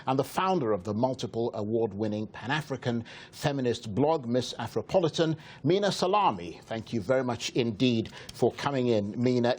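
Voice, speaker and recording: A man hosting a broadcast news interview program, asking measured questions. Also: medium pitch, a little mellow, breathy edge. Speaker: TV interview host.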